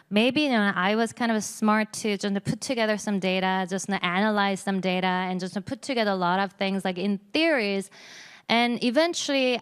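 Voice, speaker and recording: A woman in her forties speaks English with a Korean accent, her voice smooth and clear. She talks casually on a conference panel, with loose phrasing, many "you know"s and a self-deprecating touch. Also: medium pitch. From South Korea. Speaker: game company executive. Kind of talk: panel discussion.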